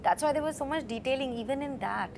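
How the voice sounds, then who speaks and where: A woman, an actress in an interview, answering in a reflective, measured way. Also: high-pitched, noisy background.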